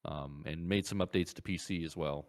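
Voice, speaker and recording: A man's voice: extremely low pitch, moderate pace. A man hosting a podcast, chatting casually about video games and often circling back to repeat his phrases. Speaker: podcast host.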